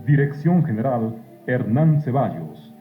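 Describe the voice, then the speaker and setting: A man's voice: mid-range voice, big pitch swings, measured pace. A man reading scripted radio drama credits as an announcer, in a declamatory way. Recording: dull lo-fi radio recording, music behind.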